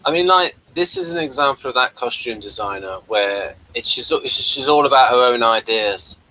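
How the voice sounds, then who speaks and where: A man hosting a casual review chat, explaining things in a halting way and often restarting mid-thought. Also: mid-range voice, expressive, sharp tone, a little hiss or hum, poor audio. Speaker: podcast host.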